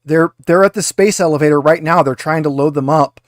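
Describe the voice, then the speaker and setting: A man's voice: a little high, fairly quick, dry recording, clean studio sound, close to an everyday voice. Man as a scornful, exasperated female marine deserter. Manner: blunt, exasperated.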